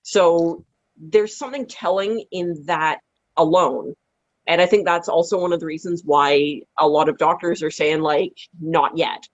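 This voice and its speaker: A woman's voice: steady tone, measured pace, no room sound. Muffled woman explaining in discussion. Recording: muffled, dry low-fidelity call audio.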